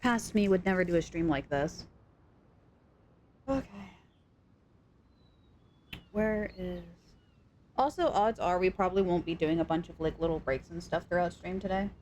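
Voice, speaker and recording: A young woman with a sore throat chatting casually and excitably on a live stream, scatterbrained and full of gasps. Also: lowish voice, normal speed, long pauses, slight background noise. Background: background music.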